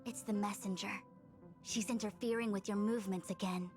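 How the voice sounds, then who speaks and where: A woman's voice: mid-range voice, steady tone, fairly quick, music in the background, natural voice. An actress playing a composed, thoughtful young woman who guides others in a video game, in scripted voice acting. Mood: musing.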